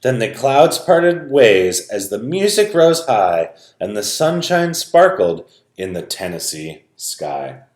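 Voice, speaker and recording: A man reading a rhyming children's story aloud in a dramatic, expressive way. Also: medium pitch, slow pace, few pauses. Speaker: storybook reader. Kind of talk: animated children's read-aloud, rhyming narration. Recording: roomy, echoing sound.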